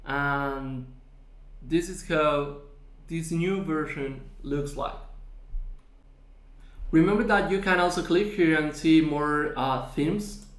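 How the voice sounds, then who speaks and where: A man with a fairly high voice walks through a software tutorial at an unhurried pace, pausing on fillers as he explains. His voice sits in an echoey room, recorded for a screen demo. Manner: slow, explanatory, with frequent fillers.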